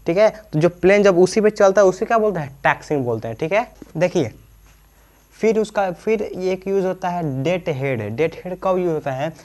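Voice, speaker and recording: Male teacher, Bihari accent, slightly high voice; colloquial explainer who keeps posing and answering his own questions. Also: animated, normal speed, a little mellow. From Bihar, India. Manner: repeatedly poses questions and answers them himself; colloquial, slips into local dialect. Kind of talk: informal classroom-style explanation.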